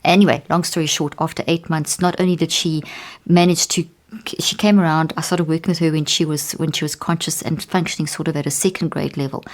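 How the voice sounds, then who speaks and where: A woman's voice: sits low, steady tone, fairly quick. A middle-aged woman with a South African accent, a neuroscientist telling stories in a podcast interview. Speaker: neuroscientist guest.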